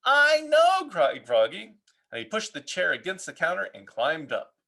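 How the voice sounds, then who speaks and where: A man reading a children's story aloud as an expressive narrator, playful with his words. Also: on the high side, normal speed, vocal fry, breathy edge. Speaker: storybook reader.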